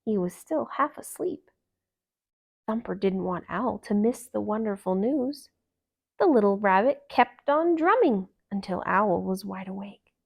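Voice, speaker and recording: A woman reading a children's story aloud in a calm, expressive and gentle narration. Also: mid-range voice, measured pace, creaky, slightly rough. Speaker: storybook reader.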